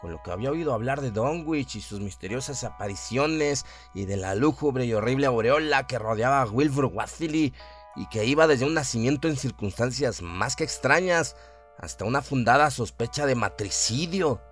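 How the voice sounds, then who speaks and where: A man giving a solemn, theatrical narration of a horror radio drama, with deliberate phrasing. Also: medium pitch, animated. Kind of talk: dramatic scripted horror narration, audiobook-like reading. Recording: music under the voice. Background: atmospheric music bed.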